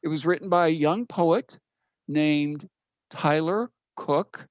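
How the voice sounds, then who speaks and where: A man from the United States presenting informally as a poet at an online open mic, in deliberate, emphatic short phrases over a video call. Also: on the high side, no room sound. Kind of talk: informal spoken introduction to an audience. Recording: dull, slightly lo-fi video call audio.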